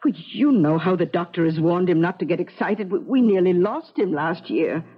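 A woman's voice: on the low side, lively intonation, normal speed, slightly rough. An actress playing a devoted, motherly servant in a 1970s radio drama, anxious, coaxing and deferential. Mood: anxious pleading. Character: fussing, devoted older female servant, timid and motherly. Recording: dull 1970s radio recording.

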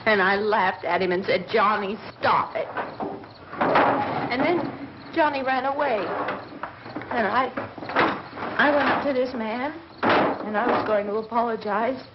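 An actress playing a terrified, hysterical young woman in shock, halting and pleading, in an old horror film. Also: fairly high pitch, close to an everyday voice. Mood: dazed, shaken. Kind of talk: 1960s horror film acting. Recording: noisy, muffled old film soundtrack.

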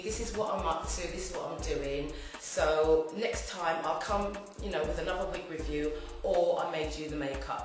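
A woman, around her forties, chatting to camera in a rambling, chatty way, her voice a little hoarse from a throat infection. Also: fairly low pitch. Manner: chatty and rambling, lively gestures. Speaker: wig review vlogger. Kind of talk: casual chat to camera. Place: home room indoors. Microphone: camera at mid distance. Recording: very echoey room with faint music.

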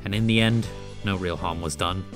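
A man's voice: deep voice, normal speed, over music, lightly acted. A man voicing a formal, protective male school aide in an anime-style game dub, earnest and reassuring.

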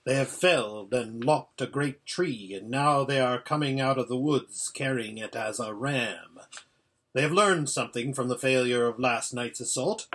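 Man reading as an urgent, husky old hermit. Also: medium pitch, normal speed, fairly bright tone. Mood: watchful, grave. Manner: exclamatory, urgent running report. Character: old male hermit seer, impatient, anxiously narrating a battle. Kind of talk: storybook character voice.

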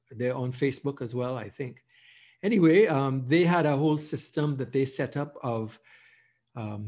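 A middle-aged man from Trinidad with a Trinidadian accent, hosting and telling his story in an informal, halting, reflective way. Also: medium pitch, darkish tone. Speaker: host, beekeeper. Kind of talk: casual self-introduction over slides.

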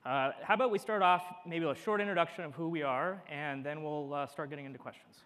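Male moderator, quick-paced and casual, echoing stage. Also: a little high, a bit bright. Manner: brisk, casual. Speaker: moderator, journalist. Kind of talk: panel moderation. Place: stage in an event hall.

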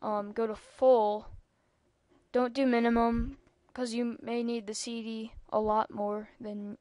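Young-sounding girl with a high voice. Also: little pitch movement, slow, dark tone, clear, resonant tone, no room sound. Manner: rambling, many ums, chatty asides. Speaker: tutorial maker. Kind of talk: casual screen-recording tutorial.

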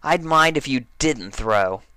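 A man voicing a brash, excitable young female pegasus pony from a cartoon, gushing and exclaiming. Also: medium pitch, takes it slowly, clean tone, pitched above the performer's own voice. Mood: eager.

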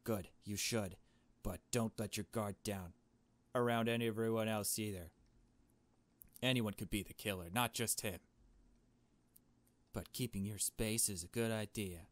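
A man's voice: on the low side, steady tone, unhurried, long pauses, no echo, natural voice. A man voicing a suspicious, blunt young woman in a visual novel, speaking in an emphatic, accusatory way. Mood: stern warning.

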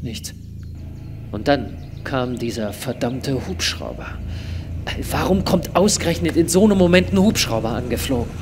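A middle-aged man narrating a live radio play on stage with expressive, theatrical storytelling into a headset mic. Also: mid-range voice, normal speed, over music.

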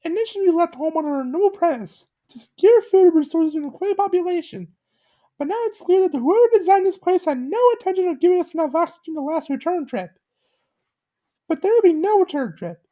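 A high, squeaky little clay boy, as a woman puts him on, innocent and frightened. The voice leaps far above her own and swings widely in pitch. Its tone is soft and mellow rather than bright. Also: lively intonation, fairly quick. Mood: mournful.

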